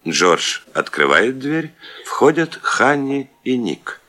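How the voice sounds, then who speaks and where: A man's voice: medium pitch, big pitch swings, takes it slowly, very noisy. A man narrating stage directions for a radio play as a scripted narrator.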